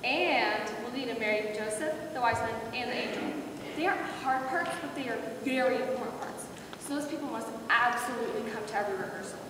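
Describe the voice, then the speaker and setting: A woman playing a brisk, firm director of a children's Christmas pageant in an amateur readers theater skit, instructive and no-nonsense. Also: a little high, lightly acted. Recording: distant, very echoey hall with background noise.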